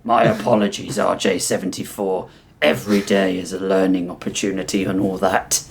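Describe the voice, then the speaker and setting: Man voicing a deep, formal, bookish reptile prisoner. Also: flat delivery, moderate pace, slight background noise. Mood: weary politeness. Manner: formal, wordy, politely disdainful. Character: huge cultured reptile inmate, formal, restrained, bookish.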